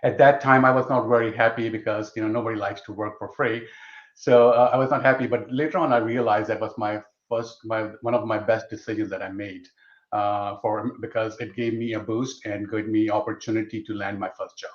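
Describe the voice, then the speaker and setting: A man's voice: on the low side, steady tone, brisk. A man in his fifties from Pakistan, a guest speaking on a video call, hesitant with many fillers. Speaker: guest, IT executive. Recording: dull roomy video call audio.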